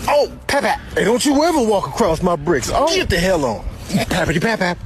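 A man voicing a feisty, territorial little animal in a comic dub, bossy and chanting nonsense with squeaks. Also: big pitch swings, a little fast, a little hiss or hum.

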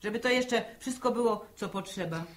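An actress playing a sharp-tongued middle-aged village mother who nags in folksy rural phrases, in 1960s Polish radio drama style. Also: medium pitch, normal speed, close to an everyday voice. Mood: grumbling. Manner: nagging, exasperated, folksy rural turns of phrase.

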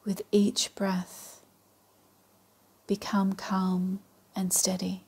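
Slow, very breathy woman guiding meditation. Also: mid-range voice. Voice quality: soft, breathy, partly whispery. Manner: calm, measured, long pauses. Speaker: yoga nidra meditation guide. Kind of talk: guided meditation, slow and soothing instruction.

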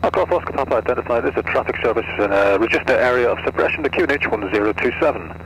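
Gravelly guy on aviation radio, talks fast, lots of static. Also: medium pitch, lively intonation, a bit bright. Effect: aircraft radio transmission. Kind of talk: clipped aviation radio talk. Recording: noisy, crackly aircraft radio.

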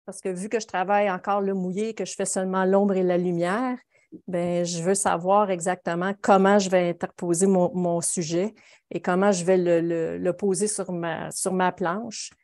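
A woman's voice: fairly low pitch, flat delivery, moderate pace, a little mellow. A middle-aged woman with a Quebec French accent gives an informal live painting lesson. She explains her method in a chatty, conversational way, speaking from her studio over a video call. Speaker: watercolour painting instructor. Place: home studio, indoors.

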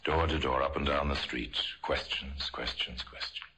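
A man narrating a vintage radio crime drama as a grave, deliberate storyteller. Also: rumbling low, fairly even intonation, vocal fry, sharp tone, breathy edge, exaggerated voice, low-quality recording. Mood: grave, dramatic. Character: grave storyteller narrating police murder cases. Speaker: narrator and host. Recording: vintage radio recording, faint music.

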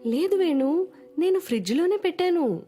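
A woman voicing a gentle mother who soothes and coaxes in a moral storybook tale. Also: very high voice, expressive, normal speed, faint music behind. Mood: coaxing. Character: Padma, gentle coaxing mother. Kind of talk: Telugu moral storybook acting.

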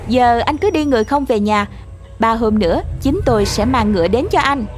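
A woman's voice: a little high, lively intonation, fairly quick, faint music behind, close to an everyday voice. A woman voicing a sly, bossy talking cat in a cartoon fairy tale, with a commanding, teasing manner. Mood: coaxing.

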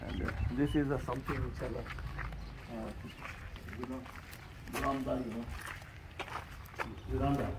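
Man giving a halting tour, echoey distance. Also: medium pitch, steady tone. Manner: halting, fills pauses with "you know". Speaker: tour guide. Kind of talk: guided walking tour. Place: unfinished concrete building, indoors and out. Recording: echoey, distant, noisy background.

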